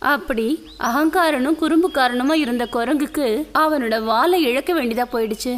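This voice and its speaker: High-pitched woman narrating children's story. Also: moderate pace, a little hiss or hum. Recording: music behind the narration.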